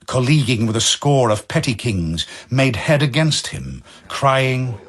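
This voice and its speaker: A man giving a scripted dramatic narration of verse, solemn and measured like a storyteller. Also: mid-range voice, big pitch swings.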